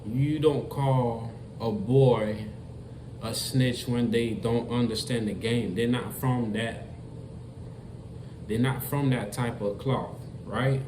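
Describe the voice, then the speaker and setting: A man from Florida delivers a casual commentary monologue, unhurried and insistent. He talks straight to his viewers and keeps repeating his points. The room sounds echoey, with a faint noise underneath. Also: mid-range voice. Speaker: commentary vlogger.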